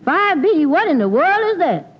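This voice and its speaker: A woman's voice: extremely high pitch, sing-song, normal speed. An actress playing a naive, gossipy young woman with folksy, ungrammatical speech in a 1940s radio comedy. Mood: folksy, saucy. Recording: muffled, hissy old radio recording.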